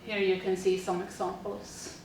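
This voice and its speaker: A woman's voice: medium pitch, little pitch movement, measured pace, a little mellow, very echoey, distant mic, slight background noise, poor audio. A woman, a teacher reading a prepared presentation steadily with frequent fillers. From Sweden. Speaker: teacher presenting a school project.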